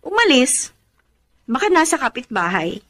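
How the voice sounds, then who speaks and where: An actress with a Filipino accent playing an exasperated but dutiful young wife in a radio drama. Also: female voice, high voice, sing-song, unhurried, fairly bright tone, full character voice. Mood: weary, complaining.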